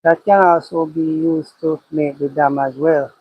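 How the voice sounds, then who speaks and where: A man's voice: a little high, fairly even intonation, a little slow, breathy edge, no room sound, highs cut off. A man with a Jamaican accent, a cattle farmer livestreaming from his pasture, thinking aloud and repeating his phrases as he talks. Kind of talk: casual livestream chat, reading comments. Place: outdoors by a cow pasture.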